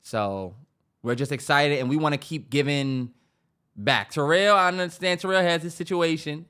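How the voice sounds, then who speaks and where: A young man co-hosting a podcast, chatting in a loose, slang-heavy way with casual swearing. His voice sits a little higher than most men's. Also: normal speed, bright, forceful tone, clean tone. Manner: laid-back, slangy, swears freely. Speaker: podcast co-host. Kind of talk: casual chat.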